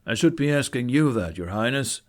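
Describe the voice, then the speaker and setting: A man's voice: medium pitch, lively intonation, fairly quick, close to an everyday voice. A man voicing a stern, humorless knight commander who questions demandingly in an audiobook. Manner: demanding, interrogating.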